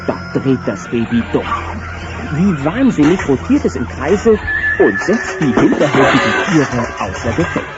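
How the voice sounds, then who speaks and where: A man's voice: medium pitch, big pitch swings, measured pace. A man narrating a scripted children's audio drama about dinosaurs as the storyteller. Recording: dull sound, music underneath.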